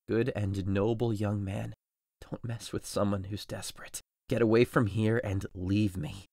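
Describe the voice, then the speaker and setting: A man playing a desperate, grief-stricken young husband in a dramatic audio drama, intense and swinging between hushed menace and anguish. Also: lowish voice, gravelly, dry recording, studio quality. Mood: desperate, fierce. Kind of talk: dramatic audio drama acting, modernized Shakespeare.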